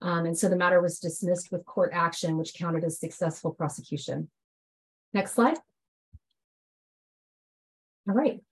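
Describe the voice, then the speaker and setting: A woman giving a businesslike slide presentation on enforcement figures, a little hoarse from a head cold, with frequent ums. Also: lowish voice, normal speed, poor audio.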